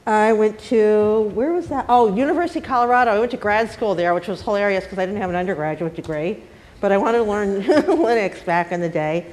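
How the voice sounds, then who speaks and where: An older woman with a trace of a Boston accent talks candidly on a panel about her working life. Her pitch sits in a middling range, and her manner is wry, blunt and self-deprecating. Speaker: panel speaker. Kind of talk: candid personal talk.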